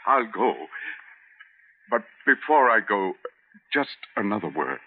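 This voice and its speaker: An actor playing a desperate, humble old man who begs haltingly in an old-time radio drama. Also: on the low side, sing-song, exaggerated voice. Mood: desperate pleading. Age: older. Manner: pleading, halting, stammering. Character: desperate, humble old male employee begging. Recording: tinny old radio recording.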